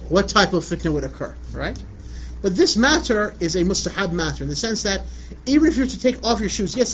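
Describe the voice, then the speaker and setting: A middle-aged man from Iraq giving a religious lecture in a conversational way, often asking "you know what I'm saying". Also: high-pitched. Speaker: Islamic lecturer. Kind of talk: religious lecture answering a question. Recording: muffled lo-fi recording with light background noise.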